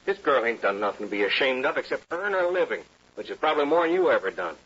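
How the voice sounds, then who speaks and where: An actor playing a plain-spoken, fiercely loyal frontier bartender with folksy speech in an old-time radio western. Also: male voice, medium pitch, sing-song, fast talker, fairly bright tone, slight background noise. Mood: indignant. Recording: dull old radio recording.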